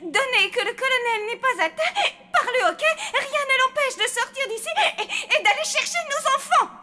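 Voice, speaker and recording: A woman's voice: very high-pitched, expressive, moderate pace, bright, forceful tone, full character voice. A woman voicing an anxious zombie mother in a cartoon. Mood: urgent. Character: anxious zombie mother pleading for her children. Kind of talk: cartoon voice acting.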